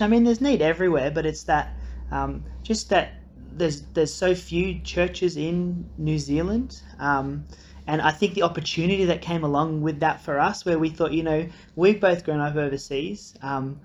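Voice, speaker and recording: Man, friendly, earnest, lots of ums, slightly dull recording. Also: on the high side. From Australia. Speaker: incoming pastor. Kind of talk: casual video introduction.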